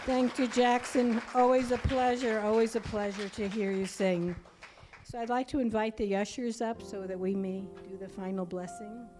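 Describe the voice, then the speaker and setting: Woman running sunday service, kinda high voice, chatty and halting, faint music behind. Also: steady tone. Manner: chatty, halting with fillers, greets newcomers by name. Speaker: minister leading the service. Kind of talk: warm welcoming announcements to a congregation. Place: church hall.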